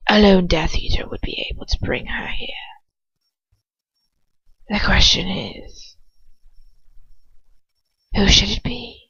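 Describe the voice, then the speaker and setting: A woman voicing a cold, menacing male dark wizard in a whispery hiss, slow and calculating, in an amateur audiobook reading. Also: medium pitch, husky, exaggerated voice. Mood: cold, scheming. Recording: roomy, muffled lo-fi home recording.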